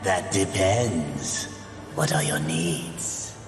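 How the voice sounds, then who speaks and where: A man's voice: rumbling low, extremely slow, heavily acted. A man playing a sly male demon merchant of souls in a video game, with an oily, theatrical, flowery delivery. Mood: sly, enticing. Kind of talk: video game character acting. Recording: echoing hall, music behind.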